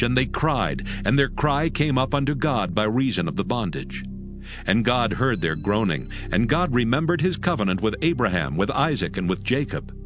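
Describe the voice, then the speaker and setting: A man narrating scripture as storyteller in a dramatized audio production. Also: fairly low pitch. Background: music.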